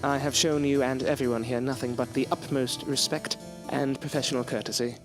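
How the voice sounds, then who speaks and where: A man's voice: mid-range voice, fairly even intonation, fairly quick, music in the background, lightly acted. An actor playing a proud, loyal male scientist in an audio drama, speaking in a formal, articulate, theatrical way. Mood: controlled anger. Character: proud, defiant, loyal male scientist, courteous but bitter. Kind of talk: period audio drama acting.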